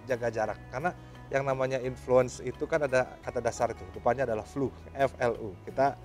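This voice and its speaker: A man's voice: medium pitch, steady tone, a little fast, a little mellow, soft background music. A man, a pastor giving conversational religious advice informally, with some English words mixed in. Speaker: pastor answering questions.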